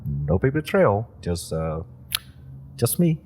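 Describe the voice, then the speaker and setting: A man's voice: on the low side, huge pitch swings, slow pace, darkish tone, slight background noise, exaggerated voice. A man voicing an eager young superpowered rookie in an audio roleplay drama, rambling and giggling as he stumbles over his words. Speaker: voice actor.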